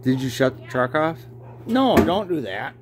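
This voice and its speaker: A man's voice: medium pitch, sing-song, a little slow. A man speaking casually and bluntly as a bossy home mechanic, troubleshooting and giving orders with some swearing. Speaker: home mechanic filming a garage video. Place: indoors in a cluttered home garage. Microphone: handheld phone camera, speaker behind it. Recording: noisy garage with loud idling engine. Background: idling car engine.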